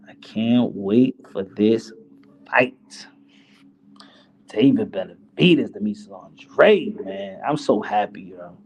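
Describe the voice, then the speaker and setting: A man's voice: mid-range voice, warm tone, a little hiss or hum. A native Spanish-speaking man casually hosting a boxing chat in slangy, informal talk, joking and stumbling over and repeating his words. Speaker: host of a boxing commentary show.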